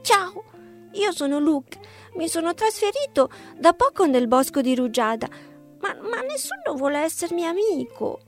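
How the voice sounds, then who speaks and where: A woman voicing a big, kind, lonely young male bear in a children's audio story, talking softly and earnestly. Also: very high voice, sing-song, moderate pace, soft background music, pitched above the performer's own voice. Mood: sad.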